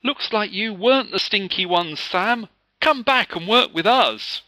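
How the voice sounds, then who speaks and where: A teasing, excitable schoolkid, put on by a man reading a storybook. The voice jumps up high and sounds very bright and lively. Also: measured pace, no room sound, full character voice. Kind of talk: children's story read aloud.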